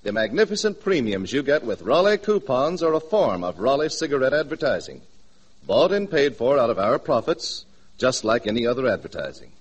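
A man's voice: mid-range voice, very animated, brisk, husky. A man announcing on 1940s radio, reading an advertisement in a polished, emphatic manner.

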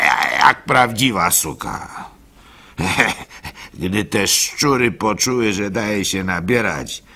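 An actor playing a gullible, good-natured village simpleton who tells his own story haltingly in a radio monologue, with a husky, gravelly voice. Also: fairly low pitch, pushed and bright, exaggerated voice. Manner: halting, stammering repeats, chuckles, acts out hecklers.

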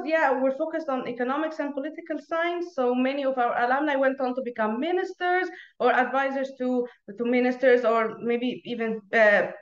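Young Egyptian woman, Arabic native, high pitch, talkative guest on a lo-fi, roomy video call. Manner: chatty, laughs often, many restarts. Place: small room at home. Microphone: earphone mic on video call.

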